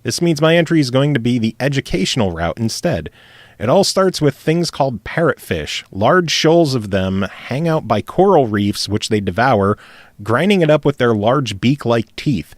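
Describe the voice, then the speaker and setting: A man's voice: mid-range voice, lively intonation, moderate pace, no echo. A man hosting a casual gaming podcast, reading listener emails aloud and laughing often. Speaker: podcast host.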